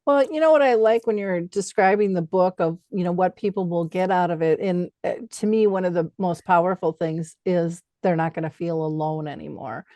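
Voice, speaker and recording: A woman's voice: fairly low pitch, moderate pace, few pauses, dark tone, dry recording. Affirming woman host, very dry sound. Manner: affirming, encouraging. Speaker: interview host. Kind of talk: casual conversational interviewing.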